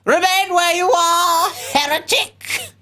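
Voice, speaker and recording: A woman's voice: extremely high pitch, takes it slowly, sharp tone, pitched above the performer's own voice, heavily acted. A woman playing a menacing old zealot priest in a radio play, with a pompous, accusing delivery. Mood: shrieking fury.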